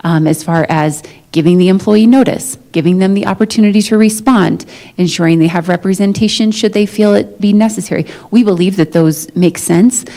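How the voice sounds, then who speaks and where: A woman speaking as a management negotiator in a formal but conversational meeting, explaining things in a measured, diplomatic way. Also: mid-range voice, mellow. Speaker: negotiator for a school district's management. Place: meeting room, live-streamed negotiation session.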